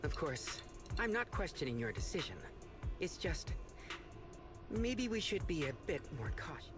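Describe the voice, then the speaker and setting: An actress playing a cautious, caring companion in a video game, gentle and tactful. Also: female voice, fairly low pitch, very animated, unhurried, over music. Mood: concerned.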